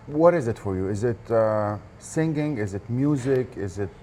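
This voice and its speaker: A man's voice: medium pitch, lively intonation, moderate pace, warm tone. A man hosting an interview, asking questions in a conversational way. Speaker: interviewer, host. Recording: faint background ambient noise.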